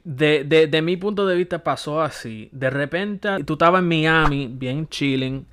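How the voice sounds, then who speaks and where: A man's voice: on the high side, measured pace, a bit bright, clear, resonant tone. A man hosting a casual chat, teasing his guest playfully. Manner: teasing, playful, sets up the topics. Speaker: podcast host.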